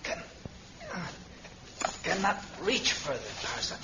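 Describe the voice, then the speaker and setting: Loyal stolid sidekick, halting clipped talk, old radio drama, super noisy. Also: male voice, high-pitched, animated. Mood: strained. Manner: halting clipped phrases, speaks in third person. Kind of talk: vintage radio serial acting. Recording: very noisy vintage radio recording.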